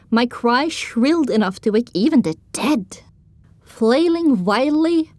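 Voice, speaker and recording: Young filly telling her own story, audiobook style, slightly high voice, super dry sound. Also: no echo. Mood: indignant. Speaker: narrator. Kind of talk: scripted first-person audiobook narration.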